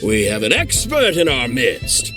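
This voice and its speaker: A man's voice: a little high, wildly swooping pitch, unhurried, over music. An actor playing a boastful, theatrical male stage performer in a video game, with a grandiose, self-amused way of speaking. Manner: grandiose, hammy, laughs at himself.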